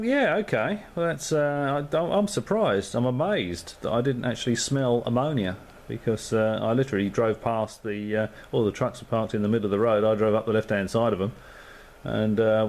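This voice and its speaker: A man's voice: lowish voice, a little mellow. Easygoing Australian man on a ham radio. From Perth, Western Australia. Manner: easygoing, rambling, many fillers, signs off with call signs. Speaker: amateur radio operator. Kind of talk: casual radio chat. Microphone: radio transceiver microphone.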